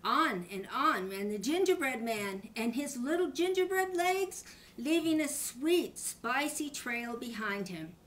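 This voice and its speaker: Animated older woman reading a storybook. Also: high voice, a little slow. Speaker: grandmother reading a children's story.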